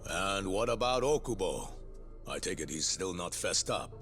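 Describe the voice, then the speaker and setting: An actor playing a weary, formal institute director in a video game dub. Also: male voice, low voice, expressive, normal speed, music in the background. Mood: weary, terse.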